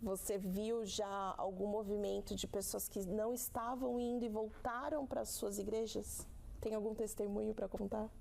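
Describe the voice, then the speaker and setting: Female Brazilian podcast host, conversational questioner, gravelly edge to a mid-range voice. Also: mid-range voice, level tone, normal speed, a little mellow, a little hiss or hum. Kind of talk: casual interviewing.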